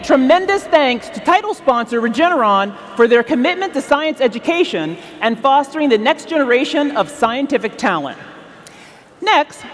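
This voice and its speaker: A woman hosts a ceremony from the stage in an upbeat, scripted style. Her voice sits fairly high and swings expressively. It rings through a big reverberant hall, with faint music behind. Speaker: ceremony host. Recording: echoey large hall sound, faint music.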